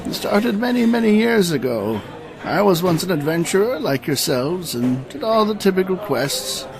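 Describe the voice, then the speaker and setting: A man's voice: sits high, very animated, moderate pace, creaky, crowd noise, exaggerated voice. A man playing an ancient, courteous knight who tells long tales in a formal, old-fashioned way in a fantasy audio drama. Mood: reminiscing.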